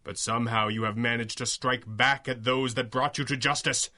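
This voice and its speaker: A forceful, grandiose masked vigilante. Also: male voice, mid-range voice, steady tone, moderate pace. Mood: stern, threatening. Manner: grandiose, taunting, articulate. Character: masked male vigilante hero, theatrical, menacing, righteous. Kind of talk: 1930s-style superhero radio drama acting.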